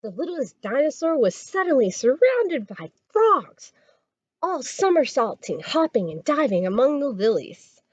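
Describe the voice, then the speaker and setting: A woman reading a children's story aloud as a storytime reader, expressive and dramatic. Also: high-pitched, unhurried, slightly rough, lo-fi sound.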